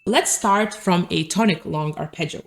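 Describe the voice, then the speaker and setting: A woman from Lithuania, a native Lithuanian speaker, teaching a piano lesson and calmly explaining scales while she plays. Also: lowish voice, moderate pace, gravelly. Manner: calm, methodical explaining while playing. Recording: piano music under the voice. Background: piano playing.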